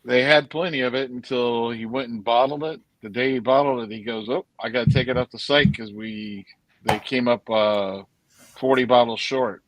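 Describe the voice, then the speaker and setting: A man's voice: medium pitch, fairly even intonation, normal speed, low-quality recording. Retired man in his fifties, easygoing. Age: 50s. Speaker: retired guest on a whiskey livestream. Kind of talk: casual chat.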